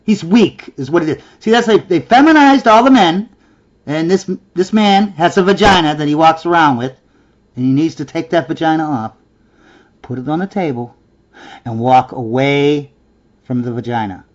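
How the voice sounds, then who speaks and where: Sarcastic high-voiced man ranting. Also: moderate pace, lo-fi sound. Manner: sarcastic, scornful, mimics in a whiny voice. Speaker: reaction video host. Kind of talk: mocking reaction commentary.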